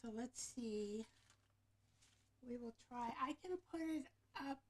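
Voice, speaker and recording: Soft-spoken woman hosting a slow craft-along. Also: breathy edge. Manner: soft, low-voiced, thinking aloud while working. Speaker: craft show host. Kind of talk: relaxed craft-along livestream. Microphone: camera microphone in front of her.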